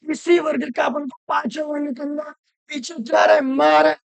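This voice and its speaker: Woman, hoarse from a cold, grammar teacher, high pitch, repeats rules loudly, dry recording. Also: moderate pace, no room sound. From Rajasthan. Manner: asks questions and answers them herself, repeats rules loudly. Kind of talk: classroom-style lesson.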